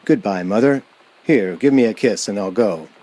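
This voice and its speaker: Man acting a low-voiced haunted motorist. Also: moderate pace, mellow, lightly acted, low-quality recording. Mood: reassuring. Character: haunted 36-year-old man insisting he is sane. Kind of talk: radio thriller monologue.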